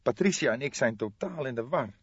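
A dry, slightly dull recording of a male reader playing a distraught younger man in an audiobook. The pitch is pushed up high, and the delivery is halting, trembling and pleading. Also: animated, slightly rough, no room sound. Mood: anxious, pleading. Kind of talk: audiobook character voice.

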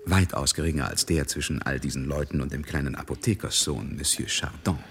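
A man acting as the wry, observant narrator of a literary radio drama, speaking in a measured, polished way. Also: rumbling low, vocal fry. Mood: dry irony. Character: wry, observant male narrator of a society novel.

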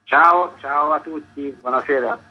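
A man's voice: a little high, measured pace. A man speaking as a festival curator in a radio interview, explaining in long, linked sentences. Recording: dull lo-fi radio connection, clear noise.

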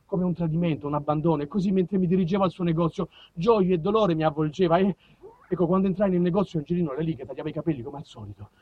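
A man with a southern Italian accent and a husky voice, delivering a dramatic theatrical monologue. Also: fairly high pitch, racing, phone-quality sound. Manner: dramatic, shifts between narration and character voices. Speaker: stage actor telling autobiographical stories.

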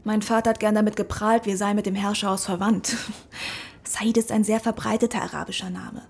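An actress playing a wry, worried young woman with a husky, breathy voice in a radio drama. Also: medium pitch, little pitch movement, a little fast. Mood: wistful. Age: young adult.

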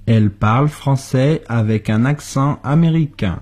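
A husky male voice, speaking very slowly and deliberately. He says each practice sentence for learners, then repeats it word for word. The pace is unhurried, like a drill on a radio lesson. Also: medium pitch, darkish tone. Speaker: co-host of a language lesson. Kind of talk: slow model sentences for learners.

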